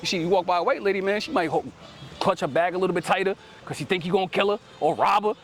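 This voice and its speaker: High-pitched street preacher guy talking fast outdoors, keeps saying right?, bossing the reader to read. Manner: ends almost every sentence with "right?"; commanding, calls on the reader to read. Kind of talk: street teaching. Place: outdoors in a city.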